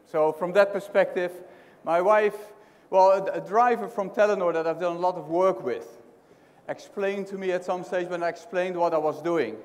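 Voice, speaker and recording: A man's voice: sits high, normal speed. A middle-aged man with a Dutch accent giving a conversational keynote talk, often restarting phrases and asking the audience questions. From Netherlands. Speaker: conference speaker. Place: large hotel conference hall. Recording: hall reverb.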